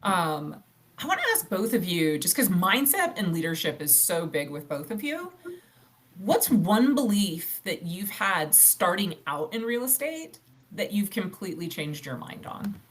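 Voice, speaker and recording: A woman with a fairly low voice, hosting a podcast interview. She speaks in a measured, encouraging way as she asks her guests questions. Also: big pitch swings, a little hiss or hum. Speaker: podcast host. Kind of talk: interview questions and casual chat.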